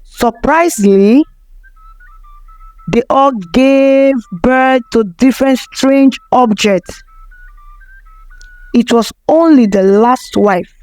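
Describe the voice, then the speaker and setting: A woman tells a folktale as a scripted narration, taking it very slowly. Her voice sits fairly high. The recording is very dry and close, with no room sound. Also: a little mellow, dry recording, rough recording. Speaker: storyteller.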